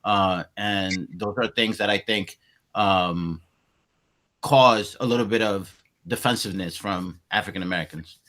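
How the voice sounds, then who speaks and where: A man's voice: sits low, unhurried, a bit bright. Reflective Spanish-accented man in his forties. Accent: Spanish-inflected. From Colombia. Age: 40s. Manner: reflective, searching for words. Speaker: podcast guest. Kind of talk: casual long-form conversation.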